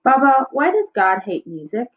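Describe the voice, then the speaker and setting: Lady reader doing an earnest curious schoolgirl from a kids book, higher voice, bit dull sound. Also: very animated, moderate pace. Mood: puzzled. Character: earnest, questioning young schoolgirl in a storybook. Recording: slightly dull, lo-fi recording.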